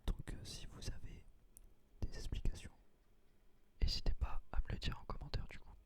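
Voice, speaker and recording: A man hosting a gaming video in a hushed, breathy whisper, ASMR style, close on a binaural microphone. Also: moderate pace.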